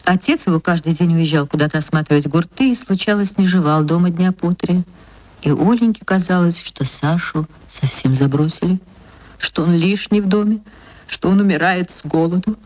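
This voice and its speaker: Expressive woman narrating a literary story. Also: fairly low pitch, normal speed, no echo, low-quality recording. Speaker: narrator, actress reading.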